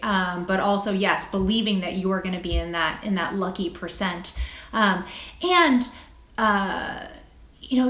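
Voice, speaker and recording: A woman speaking as a physician guest in an interview, thoughtful and reflective, often halting and repeating phrases as she finds her words. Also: mid-range voice, a bit bright. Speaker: guest, critical care physician. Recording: echoey, roomy sound.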